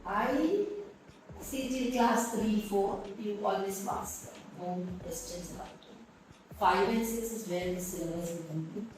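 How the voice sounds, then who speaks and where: A woman's voice: mid-range voice, slow pace, a little mellow, recorded from a distance, a little hiss or hum. Candid Indian woman principal in echoey room. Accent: Indian English. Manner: reflective, candid storytelling. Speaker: school principal. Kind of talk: interview, personal story.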